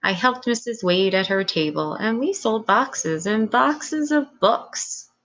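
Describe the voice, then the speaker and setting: A woman's voice: on the high side, animated, takes it slowly, fairly bright tone. A woman reading a children's story aloud as scripted narration.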